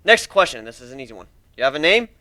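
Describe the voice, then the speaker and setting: A man's voice: medium pitch, big pitch swings, quick pace, pushed and bright, no room sound. A man voicing a cocky, menacing male griffin warrior, taunting and sarcastic, in a dramatic fantasy story reading. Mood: mocking, casual.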